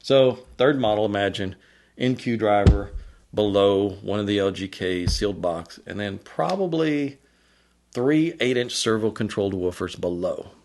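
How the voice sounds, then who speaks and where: Male product designer, fairly deep voice, informal explanatory presenter, enthusiastic and colloquial. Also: lively intonation, a little slow, darkish tone, slight background noise.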